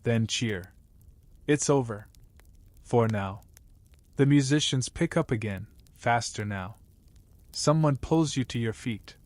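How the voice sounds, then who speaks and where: A man narrates a scripted bedtime story in a medium-pitched voice. His delivery is measured and wry, like a storyteller addressing one listener. The sound is dry, with no room echo. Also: darkish tone.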